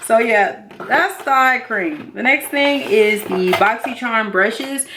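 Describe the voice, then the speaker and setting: A woman in her thirties chatting casually and excitedly to viewers as a beauty vlogger, expressive and slangy, repeating herself for emphasis. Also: fairly high pitch, takes it slowly, slight background noise, rough recording. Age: 30s. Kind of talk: casual excited unboxing chat.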